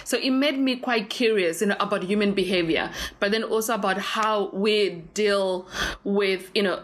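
A woman's voice: mid-range voice, a bit bright, slight background noise. A woman in her thirties from Zimbabwe, whose first language is not English, telling an interviewer her story in a rambling, filler-heavy way. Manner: rambling, with many fillers and restarts. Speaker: interview guest. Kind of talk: casual conversational storytelling.

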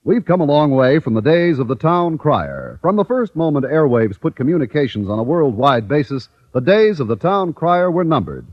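A male announcer reads a scripted promo in formal mid-century radio style. His voice is slightly high and animated. The sound is dry, on a somewhat muffled old recording. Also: moderate pace, hardly any pauses, no room sound.

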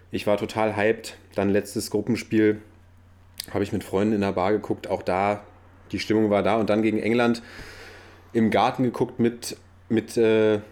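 Low, flat-voiced man chatting football. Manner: chatty, rambling with restarts. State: slight cold. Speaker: podcast co-host. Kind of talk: casual football chat. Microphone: own podcast microphone.

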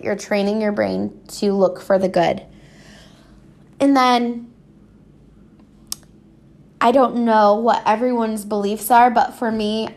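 A woman chatting casually and unscripted as a solo host, rambling informally, with a stuffy, slightly scratchy voice congested from allergies. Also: mid-range voice. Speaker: podcast host. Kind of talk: casual solo chat about personal habits.

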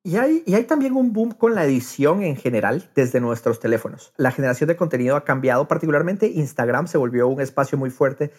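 A man's voice: on the high side, lively intonation, brisk, hardly any pauses, darkish tone. A man in a casual podcast conversation, speaking with enthusiasm and repeating words as he thinks aloud. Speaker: podcast host.

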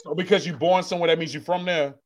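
A man's voice: on the high side, fairly even intonation, fast talker, over a noisy crowd. A young man from South Georgia co-hosting a casual sports chat, joking and arguing as he repeats his points. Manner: argumentative, joking, repeats himself. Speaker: co-host.

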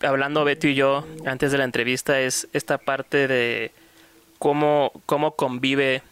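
Smooth-voiced male co-host, dry sound. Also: mid-range voice, flat delivery, a little slow, fairly bright tone, no room sound. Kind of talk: casual interview chat. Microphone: video call.

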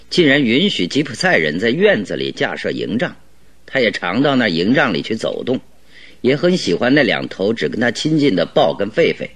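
A man's voice: fairly low pitch, a bit bright. A man acting a shrewd, self-assured detective in a radio drama, reasoning aloud in an expansive, conversational way.

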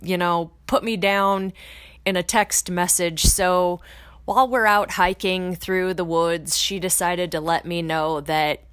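Straight-talking lady podcaster, kinda low voice, punchy bursts, dry close mic. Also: fairly even intonation, slow, fairly bright tone, smooth, no room sound. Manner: blunt, swears freely, rising emphatic bursts. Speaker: podcast host. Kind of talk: casual motivational podcast talk.

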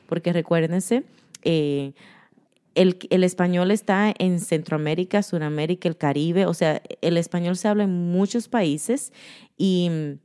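Woman in her thirties, candid and chatty, low voice, slips into English, casual conversation. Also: mellow. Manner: candid, laughs often, mixes in English words.

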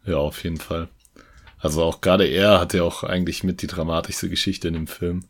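A man in a relaxed, reflective casual chat about a film. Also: deep voice, a little fast. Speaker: podcast co-host.

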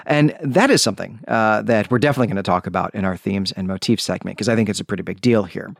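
A man's voice: fairly low pitch, quick pace, vocal fry, dry recording. A man hosting a book discussion podcast in a chatty, enthusiastic way, with informal asides. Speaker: podcast host.

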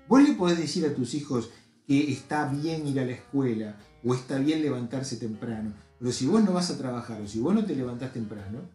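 A man's voice: medium pitch, expressive, fairly quick, dark tone, echoey room, faint music behind. A man, an author and educator speaking earnestly and emphatically as a podcast guest. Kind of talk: casual podcast conversation.

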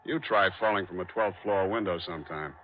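A muffled vintage radio recording of a man playing a hardboiled private eye. His voice is low, and his delivery is dry and clipped, cool and sly in the 1940s radio manner. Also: a little fast, lightly acted. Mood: brisk.

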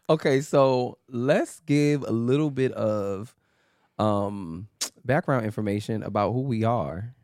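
A man's voice: mid-range voice, lively intonation, slow, long pauses, darkish tone, no echo, clean studio sound. A man, co-hosting a faith podcast in a playful, laughing, easygoing chat. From New York. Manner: playful, hyped, loose and laughing. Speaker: podcast co-host. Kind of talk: casual chat.

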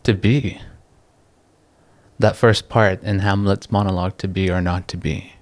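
A young man from the Philippines, a guest talking in a relaxed, reflective way, pausing often and laughing easily. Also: low-pitched, no echo. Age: young adult. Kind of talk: casual interview chat.

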